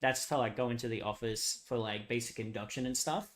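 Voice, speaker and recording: A hoarse young man chatting casually with viewers on a live stream, rambling, laughing often and swearing freely. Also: medium pitch, steady tone, fairly quick, a bit bright.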